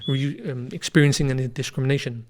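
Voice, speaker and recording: A man's voice: mid-range voice, fast talker. Guy in his 30s, punjabi mother tongue, chatting as a guest, lots of ums, voice drops off at the ends. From Denmark. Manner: thoughtful, frequent fillers, trails off softly. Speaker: guest author. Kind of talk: casual conversational interview.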